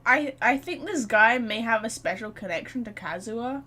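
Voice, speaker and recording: A woman's voice: on the high side, animated, moderate pace, sharp tone. A woman doing casual livestream game commentary, blunt, joking and given to swearing. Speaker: game streamer.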